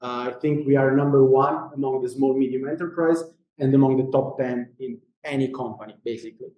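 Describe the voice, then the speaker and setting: A man's voice: mid-range voice, fairly even intonation, fairly quick, roomy sound, highs cut off. A man with a Genoese Italian accent giving a casual, joking company presentation at a lecture, with many fillers and restarts. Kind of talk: informal conference presentation.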